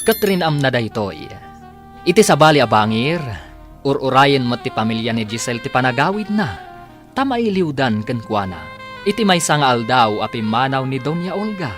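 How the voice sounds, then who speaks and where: A man's voice: mid-range voice, sing-song, normal speed, fairly bright tone. A man with an Ilocano Filipino accent reading dramatic scripted narration as a radio drama announcer. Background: background music.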